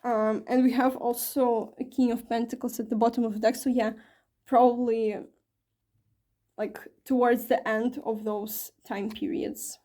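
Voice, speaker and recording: A woman reads tarot for her viewers in a loose, chatty way, often pausing on fillers and correcting herself. Her voice sits a bit high yet sounds soft and mellow, with a slight strain from talking a long while. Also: flat delivery. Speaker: tarot reader. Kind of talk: casual tarot reading to camera.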